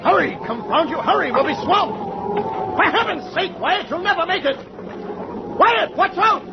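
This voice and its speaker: An actor playing an alarmed male ship passenger who scolds and shouts commands over a storm, in 1940s radio acting, on a muffled, noisy old radio recording. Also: very high voice, lively intonation, normal speed, over music.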